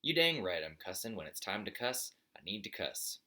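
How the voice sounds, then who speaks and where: Guy doing a crabby old buzzard pa from a kids storybook, folksy nagging, bright forceful voice. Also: fairly low pitch, big pitch swings, moderate pace, full character voice. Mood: indignant, blustering. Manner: nagging, blustering, folksy lecturing. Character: cranky, scolding old father buzzard, folksy and greedy. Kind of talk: children's storybook character voice.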